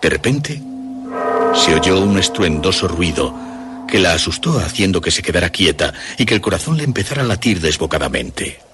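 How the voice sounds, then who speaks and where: A man narrating a scripted horror story in deliberate, suspenseful phrases. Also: low voice, big pitch swings, background noise. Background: music.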